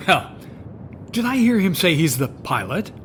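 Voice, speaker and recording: Guy playing nosy undercover reporter, high animated voice, sarcastic and hesitant, radio drama. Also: measured pace, slight background noise. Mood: flustered, curious. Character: inquisitive skeptical male undercover newspaper reporter.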